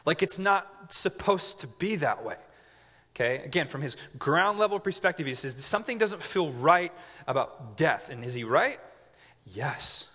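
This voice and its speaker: A man's voice: fairly high pitch, sing-song, measured pace, bright, forceful tone, breathy edge. A man in his twenties preaching a sermon as pastor, conversational with joking asides and frequent check-ins with the audience. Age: 20s. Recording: reverberant hall.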